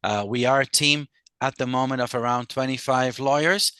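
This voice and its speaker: Crisp bright-voiced man, slight Scottish lilt. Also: mid-range voice, fairly even intonation, no room sound, studio quality. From Brazil. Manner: measured, with frequent "uh" pauses. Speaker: lawyer, guest. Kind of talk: casual interview talk.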